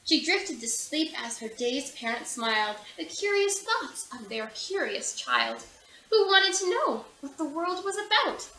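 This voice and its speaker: A woman reading a children's picture book aloud in an animated, theatrical way, acting out the characters. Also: high voice, a little slow, reverberant, rough recording. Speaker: storybook reader.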